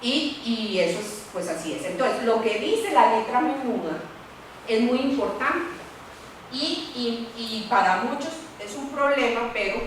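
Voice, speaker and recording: A woman lawyer speaks candidly at a panel, tagging her points with quick questions. Her voice sits a little high and rings through a very echoey lecture room. She is heard from a distance over faint background hiss. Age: middle-aged. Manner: animated, candid, many "¿verdad?" tags. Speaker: university lawyer. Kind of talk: panel talk.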